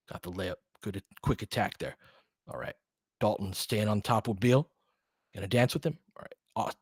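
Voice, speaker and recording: A man's voice: medium pitch, slightly rough, no room sound, studio quality. A man giving informal live basketball play-by-play with bits of analysis, in clipped, slangy, conversational phrases as a partisan fan. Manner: partisan fan of one team.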